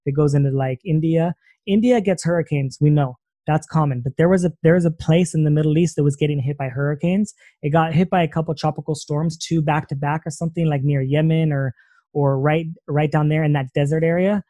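A male podcast host with a fairly high but mellow voice, recorded close and dry. He rambles conversationally, piling up fillers and restarts as he explains things. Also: steady tone, brisk, no echo. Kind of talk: casual interview conversation.

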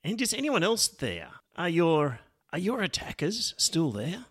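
A man voicing a gruff middle-aged father who questions his daughter calmly and firmly in a story reading. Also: fairly high pitch, pitched above the performer's own voice, exaggerated voice. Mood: stern. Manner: calm, firm, measured questioning.

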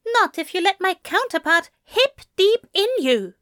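A woman's voice: extremely high pitch, very animated, measured pace, fairly bright tone, dry recording, clean studio sound, pitched above the performer's own voice, exaggerated voice. A woman voicing a prim, wounded male angel with a huffy, frosty manner in an audiobook story. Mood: huffy, sniffing. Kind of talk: fan fiction audiobook character voice.

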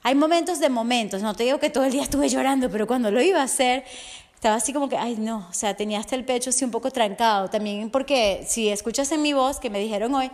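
A woman with a somewhat high voice and a slight hoarseness talks straight to her listener in a motivational podcast. She speaks as a coach, earnest and heartfelt, often pausing to ask whether the listener is following. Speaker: resilience and fitness coach.

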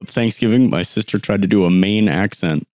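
A young man co-hosting a casual podcast, agreeable and full of quick affirmations. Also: on the low side, a little mellow, dry recording. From New England. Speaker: podcast co-host.

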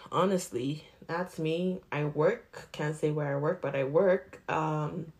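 Woman in her twenties, low voice, Nigerian accent shifting to Canadian, casual podcast chat, rambling, candid. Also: a little mellow. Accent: Nigerian mixed with Canadian. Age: 20s. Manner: rambling and candid, with laughs and swearing. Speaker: podcast host.

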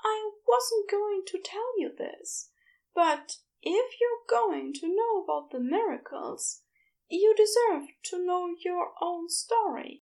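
Woman acting gentle audiobook gentleman, very high pitch, earnest, hesitant, bashful, unhurried. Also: animated, exaggerated voice. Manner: hesitant, tender, careful phrasing. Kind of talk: audiobook character dialogue.

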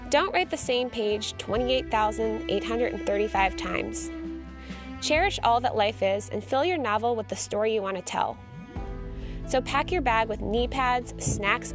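A woman's voice: a little high, normal speed, music in the background. A woman in her thirties hosting a podcast, hyponasal and congested from a cold, chatty and self-mocking with lots of fillers. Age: 30s. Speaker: podcast host. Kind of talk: casual chat.

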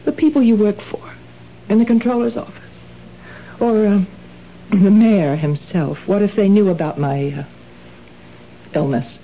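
A woman's voice: medium pitch, measured pace, pauses often, a little hiss or hum, lightly acted. An actress playing a nervous married woman struggling with kleptomania, in a 1970s radio drama. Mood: anxious. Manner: tense, pleading, hesitant. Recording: dull old radio broadcast.

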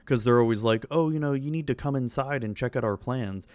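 A man's voice: mid-range voice, a little fast, a little mellow, smooth, no room sound. A man in his thirties, a podcast co-host with kids, chatting casually and telling rambling, profane stories. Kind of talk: casual chat.

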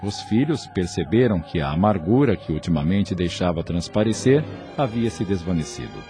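A man's voice: deep voice, sing-song, normal speed, dark tone. A man with a Brazilian accent reading scripted narration for a radio drama. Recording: music under the voice. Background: prominent music.

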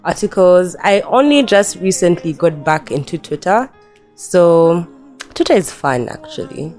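A woman's voice: on the low side, sing-song, a little slow, a little mellow, faint music behind. Giggly young woman with a nasal cold. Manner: giggly, chatty, laughs often. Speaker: podcast guest. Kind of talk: casual podcast chat.

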